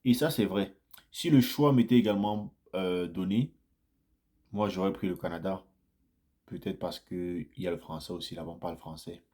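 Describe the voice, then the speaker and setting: Lowish-voiced man telling a story. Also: moderate pace. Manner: chatty, repeats phrases, grows animated in anecdotes. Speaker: vlogger sharing immigrant experience. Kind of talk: casual first-person storytelling to viewers.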